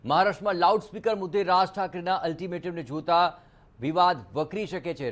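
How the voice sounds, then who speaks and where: A man's voice: a little high, slight background noise. A man anchoring a news broadcast, reading and ad-libbing the reports. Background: background music.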